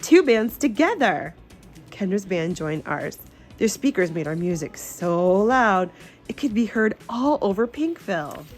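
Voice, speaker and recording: A woman reading a children's storybook aloud in an expressive, animated, emphatic narration. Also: fairly low pitch, a little slow, soft background music.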